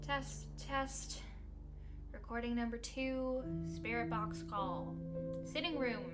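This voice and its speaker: A meek, put-upon woman on a ghost-hunting crew in a radio play. She speaks slowly in a high voice, plain and a little hesitant, with loud music underneath. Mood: businesslike. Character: meek, put-upon younger-sounding sister and ghost-hunt recordist. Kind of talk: radio drama acting.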